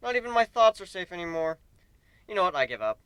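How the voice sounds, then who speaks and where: A man's voice: sits high, sing-song, fast, a bit bright, smooth, no echo. A man voicing an exasperated, sarcastic griffin swordsman in a fan story reading, prone to panicky outbursts. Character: hot-headed, exasperated, melodramatic male griffin swordsman.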